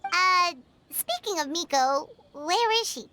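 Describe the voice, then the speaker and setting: Squeaky, very high childlike fairy. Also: female voice, takes it slowly, full character voice. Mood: curious. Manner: animated, inquisitive. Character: small chirpy childlike female fairy companion, curious, eager. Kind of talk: video game character acting. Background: background music.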